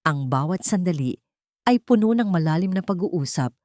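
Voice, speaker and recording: A woman's voice: fairly low pitch, animated, normal speed, darkish tone, no room sound, clean studio sound. A woman with a Filipino accent reading a scripted dramatic story as an audiobook narrator.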